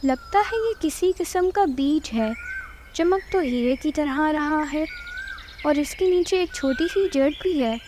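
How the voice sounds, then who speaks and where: A woman's voice: high voice, unhurried, noisy background. A woman voicing a modest, hopeful little bird, musing aloud in a cartoon story. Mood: wondering, hopeful. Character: hopeful, modest little female songbird farmer. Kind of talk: Hindi cartoon voice acting.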